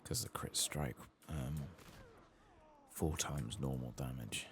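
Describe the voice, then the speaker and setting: A man giving soft-spoken ASMR commentary while gaming, murmuring and drifting into whispers. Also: a bass voice, takes it slowly, slight background noise. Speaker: gaming streamer, ASMR host.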